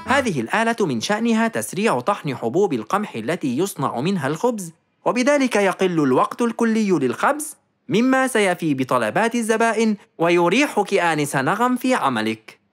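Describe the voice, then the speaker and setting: A voice actor playing a kind, helpful male fox inventor in a cartoon, speaking in a warm, reassuring and gently formal way. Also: mid-range voice, big pitch swings, fairly quick. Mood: kindly. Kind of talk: cartoon dialogue.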